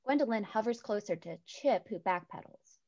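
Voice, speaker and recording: A woman's voice: medium pitch, expressive, moderate pace, darkish tone. A young woman reading stage directions as scripted narration through a headset on a video call. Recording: dull, lo-fi video-call audio.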